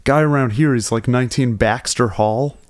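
A man's voice: medium pitch, moderate pace. A man in his twenties talking casually as a musician guest, rambling and laid-back with lots of fillers. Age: 20s. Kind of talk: casual conversation.

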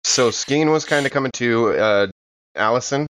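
A man's voice: medium pitch, normal speed, background noise. A man playing a tabletop game, thinking aloud in casual, rambling role-play on a slightly dull recording. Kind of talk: casual improvised role-play, describing own actions.

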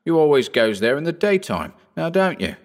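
A man's voice: on the high side, sing-song, moderate pace, long reverb tail, voice pushed up. A man voicing a frightened, fretful village woman who pleads anxiously.

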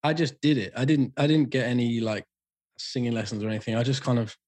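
A man with a husky, slightly rough voice speaks quickly and candidly as a podcast guest. He is a singer who swears freely and stumbles into restarts as his words tumble out. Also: mid-range voice, darkish tone. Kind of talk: casual chat.

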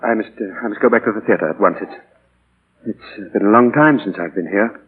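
An actor playing an anguished, tender middle-aged playwright in a 1940s radio drama, speaking haltingly and earnestly. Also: male voice, on the low side, phone-quality sound. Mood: pained, halting. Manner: halting, earnest, repeats words in distress. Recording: husky-sounding old 1940 radio broadcast.

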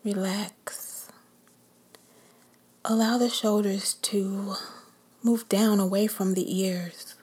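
Woman meditation guide, breathy whisper, very slow and calm. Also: mid-range voice.

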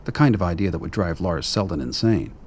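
A man's voice: sits low, lively intonation, fairly quick, darkish tone, a little hiss or hum. A man reading scripted literary narration as the narrator of a radio play.

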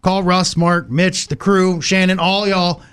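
A man's voice: sits high, steady tone, measured pace, fairly bright tone, smooth. A man co-hosting a casual radio show, talking in an emphatic, folksy, informal way.

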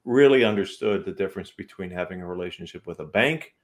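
A man's voice: sits low, expressive, fast talker. A man hosting a business interview show in an upbeat, conversational way. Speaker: talk show host.

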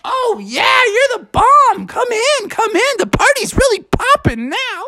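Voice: very high-pitched, huge pitch swings, measured pace, pushed and bright, pitched above the performer's own voice, full character voice. A storyteller voicing an exuberant elderly cartoon frog, childlike and full of slangy exclamations. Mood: elated. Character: excitable elderly male frog having his hundredth birthday.

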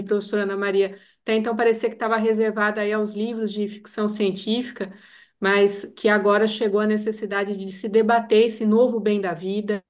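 A woman who is a state attorney and researcher, speaking formally on a video call and full of courteous thanks. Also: medium pitch. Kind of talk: formal panel conversation.

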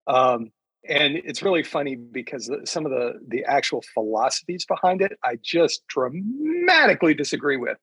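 A man speaking as an author guest in a casual chat, rambling haltingly as he searches for words. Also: medium pitch, fairly bright tone, breathy edge, no room sound, poor audio.

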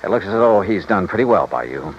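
A man's voice: low voice, big pitch swings, a little fast, slight background noise. A man playing a skeptical private investigator in an old radio detective drama, with a wry, clipped way of questioning and narrating. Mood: skeptical, probing. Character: hard-boiled, skeptical male private investigator, dryly probing. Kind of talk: 1950s-60s radio detective drama, first-person narration. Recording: dull old radio recording, music behind.

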